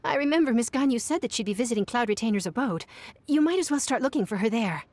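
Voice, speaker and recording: A prim, overworked young secretary explains things in a fairly high voice. The delivery is formal and dutiful, in the style of video game dialogue. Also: female voice, lively intonation, fast talker, a little mellow, dry recording. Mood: relieved.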